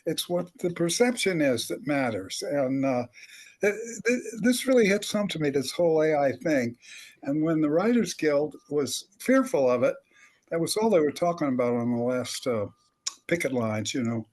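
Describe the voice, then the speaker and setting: An older man with a somewhat high-pitched voice talks as a veteran writer on a panel. He rambles through wry anecdotes and chuckles as he goes. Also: expressive, moderate pace, a little mellow. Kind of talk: animated anecdotal panel talk.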